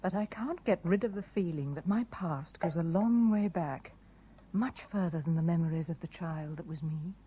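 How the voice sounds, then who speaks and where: An actress with a British accent playing a wistful, enigmatic married woman in a 1970s radio drama, musing with soft intensity. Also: on the low side, moderate pace, slightly rough. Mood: haunted, wistful. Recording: noisy 1970s radio broadcast recording.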